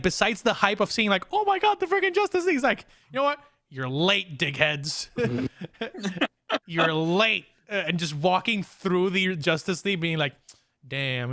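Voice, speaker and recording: Male podcast host, higher pitch, dry close sound, excitable and slangy, casual entertainment review chat. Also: no echo. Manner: excitable, slangy fan talk.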